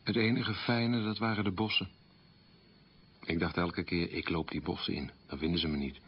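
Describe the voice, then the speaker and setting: Male actor doing proud hurt guy telling grim childhood memories, low voice, restrained, bit muffled old radio play. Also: fairly even intonation, moderate pace, a little hiss or hum, natural voice. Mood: haunted. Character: proud, wounded man recalling a humiliated wartime childhood. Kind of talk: radio drama monologue, confessional storytelling.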